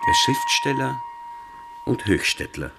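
A man narrating a radio play, with measured, dramatic literary storytelling. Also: mid-range voice, wildly swooping pitch, creaky, loud music behind the voice, heavily acted.

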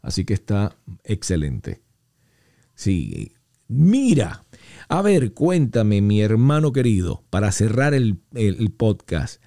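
A man from Venezuela hosting a podcast, chatting in a relaxed way on a studio microphone and reading out listener messages. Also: fairly low pitch. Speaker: podcast host. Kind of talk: casual podcast chat.

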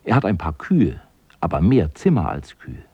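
Guy narrating a radio story, husky n creaky, very dry close sound, lively intonation. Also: sits low, normal speed, dry recording, dull audio.

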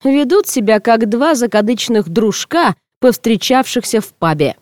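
A woman reading first-person comic fiction aloud as an audiobook narrator, in an expressive, theatrical way. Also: fairly high pitch, moderate pace, no room sound.

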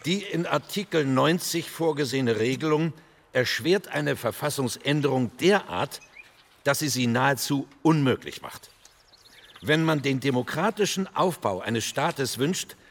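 An actor playing an earnest parliamentary delegate of 1948, making a deliberate, formal speech. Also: male voice, on the high side. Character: earnest social democrat delegate proposing constitutional amendments, 1948. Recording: long hall reverb.

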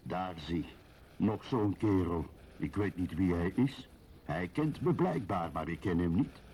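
An actor playing a brooding, lonely middle-aged husband in a radio drama, musing and questioning himself wryly. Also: male voice, low-pitched, animated, a little slow, warm tone, a little hiss or hum. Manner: musing, self-questioning, wry.

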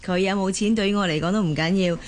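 A woman acting a busy working mother in a radio drama, with a Hong Kong Cantonese accent, expressive, colloquial and easily exasperated. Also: mid-range voice, quick pace, lightly acted. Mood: chatty, weary.

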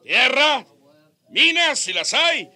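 A jovial yet callous Spanish conquistador captain for a radio play. His high, piercingly bright voice rolls along unhurriedly, bossy and swaggering, full of hearty chuckles. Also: very animated, slow pace, full character voice. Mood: jovial, commanding. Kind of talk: radio drama acting.

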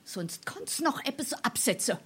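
A saucy, high-voiced Hessian waitress. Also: female voice, sing-song, measured pace, slight background noise. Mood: scornful. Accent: South Hessian, Darmstadt dialect. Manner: snappy, scornful. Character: saucy, sharp-tongued tavern waitress, unimpressed. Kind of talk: dialect stage comedy acting.

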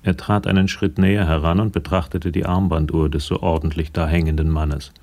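An actor reading sober, measured narration for a German radio crime drama. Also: male voice, sits low, level tone, a little mellow. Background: music.

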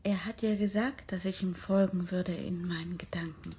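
A woman's voice: lowish voice, steady tone, normal speed, mellow, slightly rough. An actress playing a troubled but brave young married woman in a radio drama, hesitant and intense, often half-whispering. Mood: troubled, resolute. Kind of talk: German radio drama acting.

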